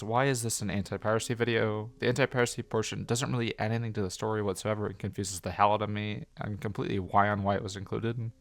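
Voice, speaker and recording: A man with a fairly low voice narrates a scripted video essay. He works through his review point by point as an analytical commentator. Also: no echo.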